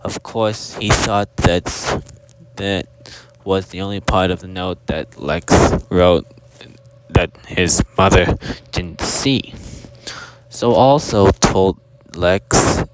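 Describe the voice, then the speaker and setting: A man's voice: low-pitched, takes it slowly, pauses often. A man voicing a forgetful, kindly storyteller hippo who rambles formally and keeps losing his thread. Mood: earnest. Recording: very noisy amateur recording with clicks.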